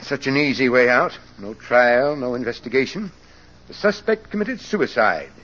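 Coldly formal villainous professor, old muffled radio. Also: male voice, medium pitch, lively intonation, normal speed, fairly bright tone. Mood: coolly menacing. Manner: formal, precise, pedantic. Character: smooth, coldly formal phony psychologist, a cornered murderer. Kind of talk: 1940s-50s radio detective drama acting. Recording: muffled vintage radio recording.

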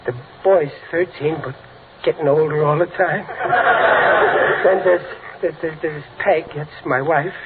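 A middle-aged American father from a golden-age radio comedy, bumbling and kind-hearted. His voice sits on the high side and stumbles through folksy, ungrammatical sentences. The recording is thin and tinny with heavy noise. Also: steady tone, measured pace. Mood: gentle, chatty. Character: bumbling, good-hearted working-class father, easily spooked. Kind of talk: 1940s-50s radio comedy acting.

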